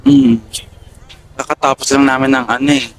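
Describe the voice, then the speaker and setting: A man's voice: mid-range voice, big pitch swings, normal speed, creaky, a little hiss or hum. A young Filipino man, a passenger chatting casually over a helmet intercom. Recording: loud music over the voice.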